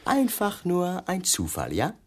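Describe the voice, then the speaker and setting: Needling detective, restlessly swooping voice. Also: male voice, high-pitched, huge pitch swings, a little slow, husky, full character voice. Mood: insinuating. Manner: insinuating, mock-polite, probing. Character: pushy, needling male police detective, slyly insinuating. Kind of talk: German radio crime drama acting.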